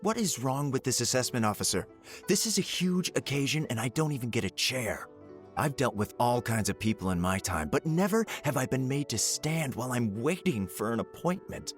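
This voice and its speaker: An actor playing an impatient, proud wealthy entrepreneur in a video game, grumbling and indignant. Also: male voice, mid-range voice, animated, moderate pace, soft background music, lightly acted.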